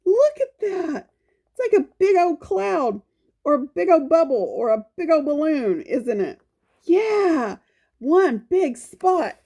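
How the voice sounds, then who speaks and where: Slow, high-pitched woman reading storytime. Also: sing-song, dark tone. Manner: folksy, exclaiming, coaxing with questions. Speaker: children's storytime reader. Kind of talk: reading a picture book aloud to young children with chatty asides.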